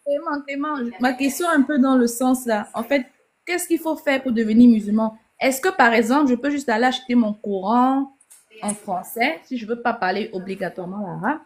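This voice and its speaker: A woman's voice: on the high side, a little fast, a little mellow. A woman asking pointed questions in a casual conversation, listing options as she goes. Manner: inquisitive, lists options while asking.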